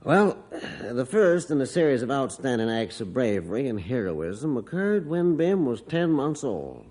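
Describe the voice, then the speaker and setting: A man playing a stern but soft-hearted rancher father who also narrates in a folksy old radio drama. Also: mid-range voice, big pitch swings, unhurried. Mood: reflective. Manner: folksy, homespun storytelling. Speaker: narrator of the story. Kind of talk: 1950s radio drama acting.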